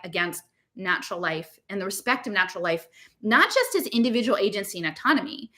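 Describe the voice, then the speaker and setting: American woman podcaster, highish forceful voice, exasperated rambling rant to her listeners, lots of rhetorical questions. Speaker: podcast host reading her blog aloud with commentary. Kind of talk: informal impassioned monologue to an online audience.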